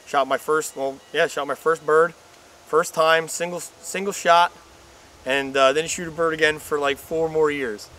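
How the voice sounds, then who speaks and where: A young man in a casual outdoor interview, speaking haltingly and repeating words as he goes. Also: a little high, fairly even intonation, a bit bright. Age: young adult. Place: open field outdoors. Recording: faint outdoor background noise.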